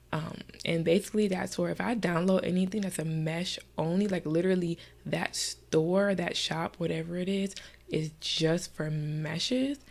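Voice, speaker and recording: Brief: woman vlogger, low gravelly voice, stuffy and nasal, relaxed filler-heavy chat. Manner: chatty, many fillers, soft at times. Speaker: gaming vlogger. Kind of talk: casual screen-recorded tutorial chat.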